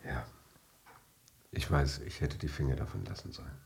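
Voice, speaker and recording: An actor playing a charming, evasive gambling husband in a radio drama, cajoling and affectionate in a glib way. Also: male voice, a bass voice, moderate pace, creaky, darkish tone, a little hiss or hum. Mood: contrite, pleading.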